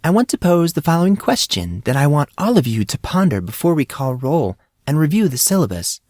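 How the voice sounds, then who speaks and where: Man voicing a sly young female teacher, raised pitch. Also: sing-song, fairly quick, a little mellow, dry recording, clean studio sound. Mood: poised, commanding. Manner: cool, knowing, formal.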